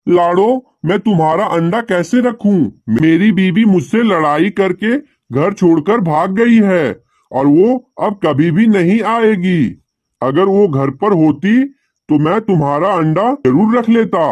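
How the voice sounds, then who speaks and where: A man's voice: high-pitched, unhurried, darkish tone, no room sound. A man voicing a concerned, apologetic pigeon neighbour in a cartoon, earnest and explanatory. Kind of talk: Hindi cartoon dialogue.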